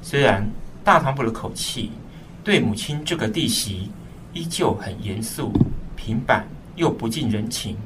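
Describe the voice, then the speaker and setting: A man's voice: mid-range voice, lively intonation, unhurried, noisy background, close to an everyday voice. A man playing a burdened, dutiful eldest son, narrating a radio drama in a solemn, earnest way. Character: burdened eldest son, dutiful and troubled, telling his story. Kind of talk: scripted dramatic first-person narration. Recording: slightly distant mic, music behind.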